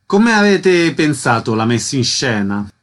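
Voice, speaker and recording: A man's voice: medium pitch, big pitch swings, unhurried. A man hosting a radio interview, putting questions concisely.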